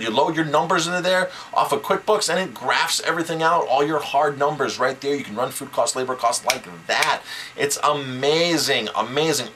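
A man's voice: medium pitch, sharp tone, slight background noise, poor audio. A middle-aged man, a chef and restaurant owner, giving casual business advice to camera with enthusiasm and lots of repeated words.

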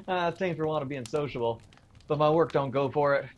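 An actor playing a teasing, sceptical young electrician son in a radio drama, breezy and colloquial. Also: male voice, fairly high pitch, normal speed, muffled recording, lightly acted. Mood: cheerful.

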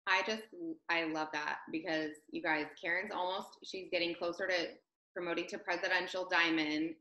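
A woman's voice: low-pitched, sharp tone. A woman in her thirties casually hosting an online training session over a laptop video call from a home room. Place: small home room, indoors. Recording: roomy, echoey laptop webcam sound.

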